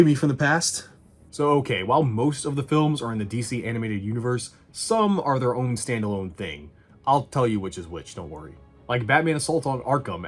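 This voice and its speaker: A man with a husky, mid-pitched voice delivers casual review commentary. His manner is sarcastic and drily humorous, with loose swearing, and faint music runs behind him. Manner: sarcastic, sweary, dry humour. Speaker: video game movie reviewer.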